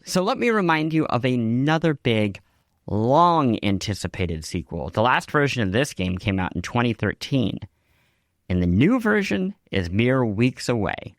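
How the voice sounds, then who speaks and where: A man's voice: mid-range voice, very animated, measured pace, no room sound, studio quality. A man hosting a podcast, reading scripted news and show notes in a wry, conversational way. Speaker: podcast host. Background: music behind the voice.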